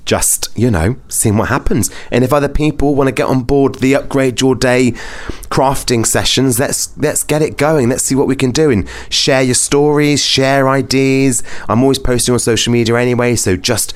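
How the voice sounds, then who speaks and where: A man's voice: mid-range voice, normal speed. A man with a British accent hosting a wellness podcast, talking in a casual, chatty, rambling and informal way. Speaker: podcast host.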